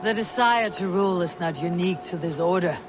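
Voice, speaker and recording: A woman's voice: fairly low pitch, brisk, over music, lightly acted. Proud, wry Viking warrior woman played by an actress. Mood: thoughtful, resolute. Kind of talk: dramatic video game acting.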